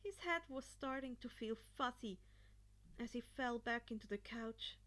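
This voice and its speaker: A woman narrating fan fiction as an expressive scripted audiobook reading. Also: fairly high pitch, measured pace. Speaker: podfic narrator.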